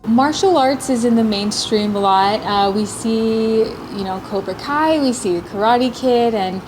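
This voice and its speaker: Woman interviewer with a slightly high voice. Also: measured pace, music in the background, poor audio.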